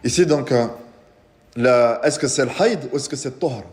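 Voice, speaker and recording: A man's voice: mid-range voice, moderate pace, darkish tone. A man, an imam, giving a religious lecture to a live audience, explaining patiently and asking the room questions. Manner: explains patiently, asks the audience questions, recites Quranic Arabic. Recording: reverberant hall.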